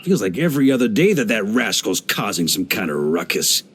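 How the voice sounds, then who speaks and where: An actor playing a hearty, easygoing veteran knight grandmaster in a video game, speaking in an expressive, playful, conversational way. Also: male voice, on the low side, a little fast, husky, heavily acted. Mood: amused, exasperated. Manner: jovial, expressive, playful, chuckling asides.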